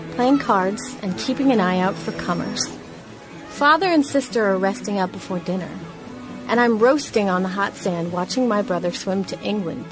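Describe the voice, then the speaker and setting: A woman's voice: mid-range voice, close to an everyday voice, poor audio. A woman voicing a young woman writing diary entries at the beach in 1916, in a radio play. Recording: music bed behind voice.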